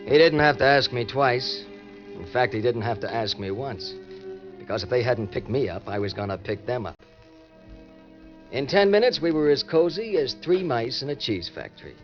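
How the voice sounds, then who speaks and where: A man's voice: medium pitch, animated, moderate pace, natural voice. An actor playing a wisecracking, cynical ex-convict drifter, with an American accent and a wry, slangy tough-guy delivery in 1940s radio style. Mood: wry, sly. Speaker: narrator and lead. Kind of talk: 1940s hardboiled radio drama acting. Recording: muffled old-time radio recording with music.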